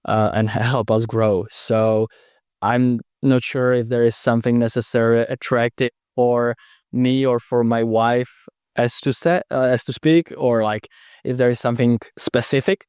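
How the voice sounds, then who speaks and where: A man's voice: medium pitch, steady tone, measured pace, darkish tone, smooth, no echo, studio quality. A young man from the Czech Republic with a Czech accent, answering interview questions hesitantly with many restarts. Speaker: guest.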